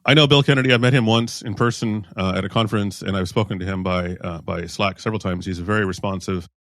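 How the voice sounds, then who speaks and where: A man's voice: deep voice, steady tone, fast talker, fairly bright tone, no room sound, clean studio sound. A man hosting a podcast interview, asking questions in a casual, friendly way with brief replies in between. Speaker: podcast host.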